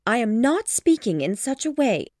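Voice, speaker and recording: A woman's voice: fairly high pitch, big pitch swings, normal speed, a little mellow, no echo, studio quality, voice pushed up. A woman voicing a spirited young woman who firmly and earnestly refuses a suitor. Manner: firm, earnest, exasperated. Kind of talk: storybook character voice.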